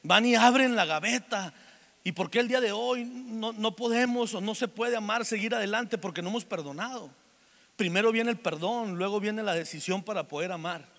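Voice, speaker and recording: High bright-voiced Mexican preacher in a reverberant hall. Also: male voice, normal speed. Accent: Mexican Spanish. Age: 40s. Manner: joking storytelling between earnest exhortation. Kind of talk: sermon. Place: church meeting hall.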